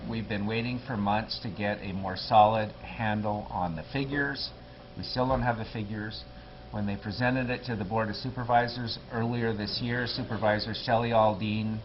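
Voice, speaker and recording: Low-voiced man in a lo-fi interview. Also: slight background noise. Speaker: political candidate. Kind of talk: local TV interview guest.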